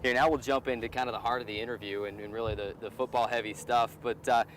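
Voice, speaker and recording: A man's voice: mid-range voice, steady tone, quick pace, fairly bright tone, a little hiss or hum, poor audio. An American man hosting a sports show and interviewing a guest, speaking outdoors beside a football field.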